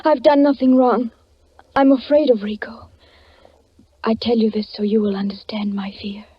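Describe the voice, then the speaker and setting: An actress playing a frightened, devoted young wife, confiding earnestly in a husky, hushed voice in 1940s radio style. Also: female voice, fairly high pitch, steady tone, moderate pace, no room sound. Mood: fearful, earnest. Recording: muffled 1940s radio recording.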